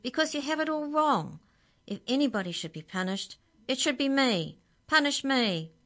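A woman voicing a small talking budgie in a storybook, high-pitched, earnest and insistent. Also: expressive, measured pace. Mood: agitated, indignant. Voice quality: high-pitched, squeaky cry. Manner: agitated, insistent.

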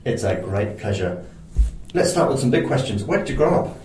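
A man's voice: medium pitch, very animated, normal speed, a little mellow. A man hosting a radio interview, welcoming his guest and asking short, friendly questions. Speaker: radio show host. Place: room at a polytechnic. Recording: roomy, distant mic.

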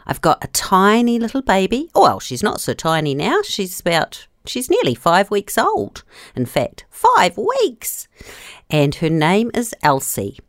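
A woman's voice: mid-range voice, huge pitch swings, measured pace, no echo, clean studio sound. Playful sing-song woman host. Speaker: children's radio host. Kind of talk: casual presenting to children.

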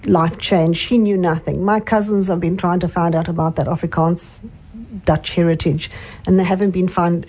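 A woman's voice: on the low side, poor audio. An older woman with a South African accent, a guest chatting and reminiscing in an interview. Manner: reminiscing, with soft hums of agreement. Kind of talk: casual interview chat.

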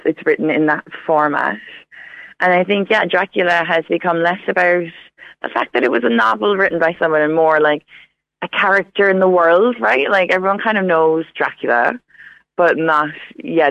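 A woman's voice: deep voice, creaky, no echo. A woman chatting casually and enthusiastically as a radio interview guest over a dull phone line, sprinkling her talk with "like". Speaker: radio interview guest, Irish studies academic. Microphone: phone call.

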